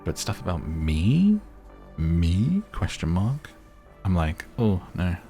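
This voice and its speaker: Low soft guy doing chill asmr game commentary, chatty, faint music underneath. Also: very animated, slow pace. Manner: soft-spoken, gentle, chatty. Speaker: gaming video host. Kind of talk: relaxed ASMR gameplay commentary.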